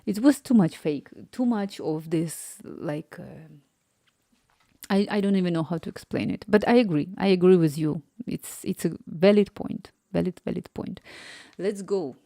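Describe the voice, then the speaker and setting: A woman's voice: lowish voice, moderate pace, gravelly, dark tone, dry recording, clean studio sound. A woman in her thirties chatting casually on a live stream, rambling and laughing often. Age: 30s.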